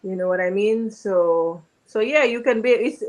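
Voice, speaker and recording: A woman's voice: medium pitch, normal speed, darkish tone, low-quality recording. A woman, an event organizer answering questions reassuringly. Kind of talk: interview answers.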